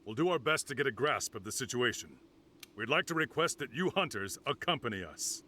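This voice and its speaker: Bright, forceful male commander. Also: medium pitch, animated, brisk, slight background noise. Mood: resolute. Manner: firm, businesslike, formal. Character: authoritative, resolute male commander issuing orders. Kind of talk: dramatic video game cutscene dialogue.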